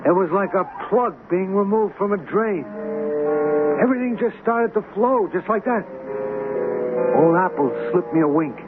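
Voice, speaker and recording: A man's voice: high-pitched, lively intonation, normal speed, long pauses, over loud music. An actor playing a flustered small-time hustler, a slangy, griping wise guy in an old-time radio drama. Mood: wry narrating. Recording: noisy, tinny old radio broadcast recording.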